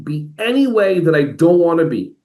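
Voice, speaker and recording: A man speaking as an educator and motivational speaker in a podcast interview, energetic and playful, often slipping into quoted voices. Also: on the high side, moderate pace, reverberant. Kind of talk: animated conversational interview.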